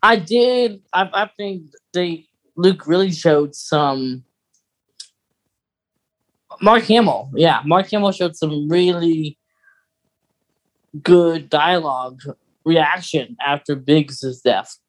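A podcast co-host in casual film discussion, talking haltingly and thinking out loud. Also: medium pitch, low-quality recording.

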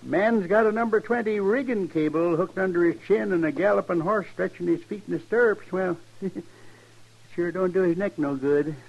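Creaky, mild-mannered homesteader. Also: male voice, high-pitched. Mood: innocently matter-of-fact. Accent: rural American. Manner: unhurried, matter-of-fact, folksy. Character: mild, folksy homesteader, sly and innocent-sounding. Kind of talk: 1950s radio western acting. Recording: dull old radio recording.

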